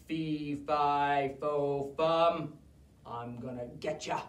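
A woman voicing a grumpy, booming giant man in a children's story. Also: steady tone, drawn-out words, far from the mic. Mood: menacing. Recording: roomy, a bit off-mic.

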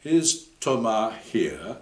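A man's voice: on the low side, sing-song, very slow, a little mellow, reverberant, exaggerated voice. A man reading the part of an inexperienced young woman photojournalist with short, questioning lines.

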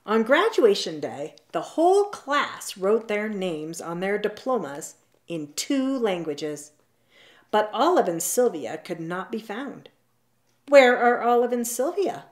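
A woman reads a children's picture book aloud. Her pitch is lively and swoops around while the pace stays slow, with expressive, drawn-out storybook phrasing. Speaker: storytime reader. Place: indoors at home, seated on a leather couch.

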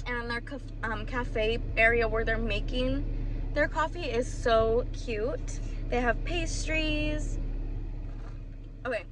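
Female vlogger, twenties, American, high-pitched and gushing, casual to-camera chat recorded in a car with clear background noise. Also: slow pace. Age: 20s. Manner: excitable, gushing. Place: inside a car in daytime. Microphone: camera or phone at arm's length.

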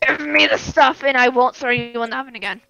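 A woman playing a bossy, excitable animatronic shadow bunny in an improvised game roleplay, pushy and dramatic. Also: sits high, fast, creaky, highs cut off, exaggerated voice. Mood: loud, demanding. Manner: pushy, loud, dramatic outbursts.

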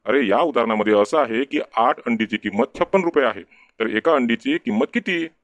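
A dry, close recording of a man playing a cartoon village schoolteacher. He is exasperated and scolding, questioning his class in a mid-pitched voice. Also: expressive, a little fast, no room sound, natural voice. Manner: scolding, questioning, name-calling. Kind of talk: comic cartoon dialogue.

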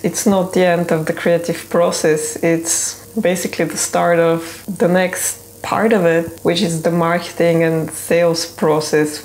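A woman in her thirties talks to her camera in a small home studio, chatty and candid. Her voice sits a little low for a woman. The sound is close and plain, like a desk camera a short way off. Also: darkish tone, low-quality recording. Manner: chatty and candid, with light laughs. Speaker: illustrator vlogger. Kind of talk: casual studio vlog talk to camera.

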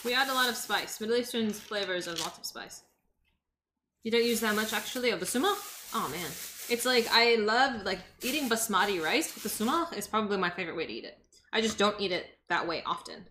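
A woman's voice: medium pitch, animated, fairly quick, bright, forceful tone, a little hiss or hum. A young woman from Los Angeles chatting casually with her stream while cooking in a home kitchen, answering chat as she chops. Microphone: desk microphone on the counter.

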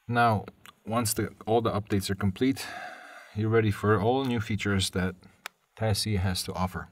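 A man presenting a live software tutorial as a technical webinar presenter, hesitant, with short pauses mid-sentence. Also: on the low side, fairly even intonation, breathy edge, dry recording. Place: voice-over on a screen-share webinar.

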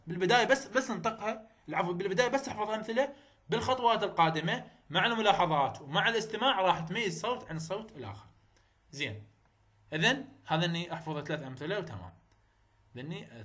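A young man with an Iraqi Arabic accent teaching English phonetics in a recorded lecture, repeating model words loudly and slowly. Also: on the high side.

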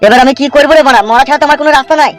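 Guy putting on blustery village man cartoon voice, talks crazy fast and high, faint music behind. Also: steady tone, fairly bright tone. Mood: aggrieved. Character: brash, fast-talking village men of a comedy cartoon. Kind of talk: comedy cartoon dubbing.

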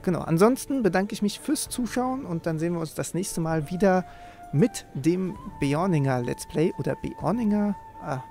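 Guy doing game commentary, kinda high gravelly voice, game music behind. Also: expressive, moderate pace, darkish tone. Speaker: let's play host. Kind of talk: casual game commentary and reading quest text aloud.

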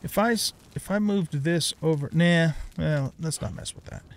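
A man's voice: fairly high pitch, moderate pace. A middle-aged man giving casual let's play commentary on a video game, thinking aloud and muttering to himself.

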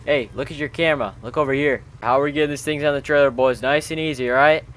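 A man's voice: mid-range voice, fairly even intonation, fast, a bit bright. A man hosting a gaming video, chatting in informal slang as he roleplays and comments on the game with friends. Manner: chatty, informal, peppered with bro-style slang.

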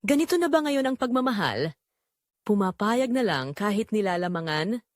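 A woman's voice: medium pitch, very animated, moderate pace, dry recording, clean studio sound, lightly acted. A woman playing a hurt, indignant grown son in an audio drama, blunt and accusing as he questions his mother.

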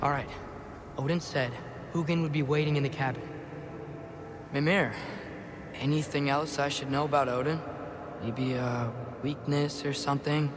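A man's voice: fairly high pitch, fairly even intonation, moderate pace, pauses often, background noise, natural voice. A young-sounding man playing an earnest, determined teenage boy in a dramatic video game. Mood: wry, apprehensive.

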